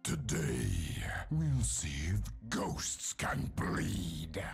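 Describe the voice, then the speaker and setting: A man's voice: sits low, big pitch swings, very slow, faint music behind, full character voice. A man voicing a hulking, savage undead warlord for a video game, in a guttural, menacing growl full of war cries. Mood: threatening. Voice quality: gravelly, guttural, husky growl. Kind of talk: video game character voice lines.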